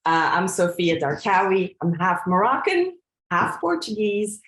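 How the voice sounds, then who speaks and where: A woman's voice: medium pitch, sing-song, measured pace, a bit bright. Earnest woman coach in an echoey room. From Belgium. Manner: earnest, reflective, with frequent fillers and restarts. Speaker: coach and trainer. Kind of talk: presentation to an audience.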